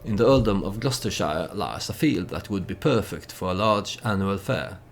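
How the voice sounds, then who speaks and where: Deep, gravelly-voiced man narrating a game. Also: slight background noise. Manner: hesitant, halting, thinking aloud. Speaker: let's play host. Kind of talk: storytelling game commentary.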